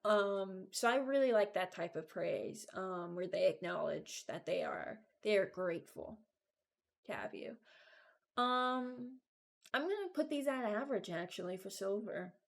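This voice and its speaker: A woman giving informal, halting commentary as a video creator, thinking aloud while she ranks things. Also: medium pitch, animated, gravelly. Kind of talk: casual commentary, ranking game voice lines.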